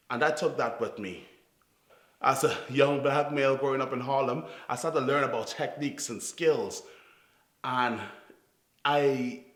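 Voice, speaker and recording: A man from Trinidad whose accent carries a trace of New York tells his story in an echoey room. He talks at a middle pitch, earnest and reflective, often stumbling and restarting his words. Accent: Trinidadian with New York influence. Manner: earnest, halting, with repeated restarts. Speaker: youth theatre founder and director. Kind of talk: reflective personal storytelling to camera.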